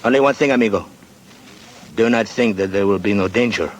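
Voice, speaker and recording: A guarded Mexican man, as an actor puts him on, whispering of buried gold in a low-pitched voice with a Mexican Spanish accent. His English is broken and sprinkled with Spanish, and he speaks slowly and carefully, like a man keeping a dangerous secret. A faint hiss sits under this old radio play. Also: close to an everyday voice. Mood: earnest. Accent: Mexican Spanish (acted). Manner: broken English with Spanish words, wary and conspiratorial. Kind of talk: 1940s radio drama acting.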